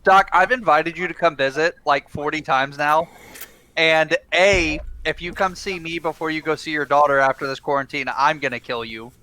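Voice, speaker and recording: Male player, clear resonant tone, quick crude humor. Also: on the high side, fairly even intonation, bright, forceful tone, rough recording. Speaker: player, a father. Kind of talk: casual chat before a tabletop game.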